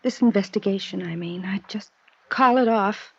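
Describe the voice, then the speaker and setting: An actress playing a loyal, anguished wife in a 1950s radio drama, earnest and hesitant with wistful melodrama. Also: female voice, mid-range voice, normal speed, vocal fry, husky, dry recording. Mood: resigned, wistful. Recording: dull vintage radio recording.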